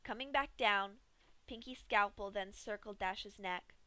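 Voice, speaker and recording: A woman reading a horror fan story aloud in a steady, measured storytelling voice. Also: mid-range voice, no echo. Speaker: fanfic reader.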